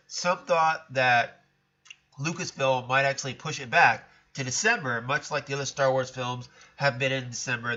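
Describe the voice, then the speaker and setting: A man talks through his thoughts in a loose, rambling way, often stopping to correct himself. His voice is bright and forceful, and a cold leaves it stuffed up and nasal. The sound is dull and lo-fi. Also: mid-range voice. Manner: chatty, rambling, self-correcting. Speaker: podcast host. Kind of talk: casual solo commentary.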